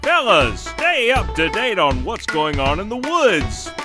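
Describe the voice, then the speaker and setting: A man's voice: high voice, huge pitch swings, unhurried, over music. A man reading a tongue-in-cheek magazine advertisement as announcer, in a folksy, mock-serious manner.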